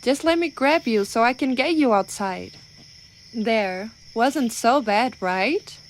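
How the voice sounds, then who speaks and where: A woman playing a clumsy, well-meaning giantess in an ASMR roleplay, rambling and hesitant but gently reassuring. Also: on the high side, expressive, slow, slight background noise. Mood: fussing, exasperated.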